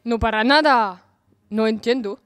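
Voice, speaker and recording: A young-sounding woman plays a pompous, lovesick knight of Spain in a radio comedy. The voice is high and close, with little room around it. Each phrase is delivered grandly and full of self-admiration. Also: moderate pace, no echo, soft background music, natural voice. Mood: insistent. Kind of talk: student radio comedy acting.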